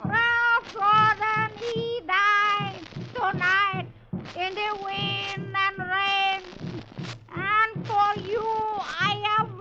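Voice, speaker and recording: A very high-pitched, menacing voodoo priestess. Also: female voice, flat delivery, lo-fi sound, full character voice. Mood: ominous, defiant. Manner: broken English, slow incantatory delivery. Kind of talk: 1950s radio drama acting. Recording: old radio recording, loud music behind.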